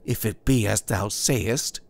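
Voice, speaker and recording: A man's voice: on the low side, takes it slowly, vocal fry, voice pushed up, heavily acted. A man voicing an anxious, pious young Puritan husband in a storybook tale, earnest and hesitant in archaic formal English. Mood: doubtful. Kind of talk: dramatised audiobook reading of a classic tale.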